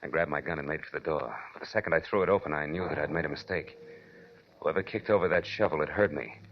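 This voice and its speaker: A man's voice: a bass voice, quick pace. An actor playing a wry, tough American private detective who narrates his case in a dry 1940s radio style. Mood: tense, wry. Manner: dry, wisecracking. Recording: dull vintage radio recording, music behind.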